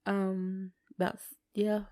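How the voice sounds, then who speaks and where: A woman's voice: medium pitch, monotone, takes it slowly, mellow, no room sound, clean studio sound. A woman chatting casually as a podcast co-host. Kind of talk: casual conversational chat.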